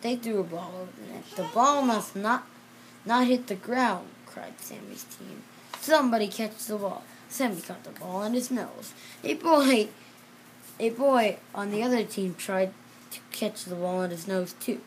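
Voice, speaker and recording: A child reading a children's storybook aloud in a halting way, repeating and correcting words. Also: mid-range voice, expressive, slight background noise, rough recording. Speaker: reader.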